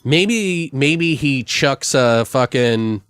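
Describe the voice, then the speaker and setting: A man with a mid-pitched voice chats casually as a podcast co-host. He rambles and swears as he makes up a comic story on the fly. The sound is dry, with very little room in it. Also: animated, extremely slow, a bit bright, smooth, dry recording. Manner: rambling, profane. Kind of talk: casual comic brainstorming of a made-up slasher story.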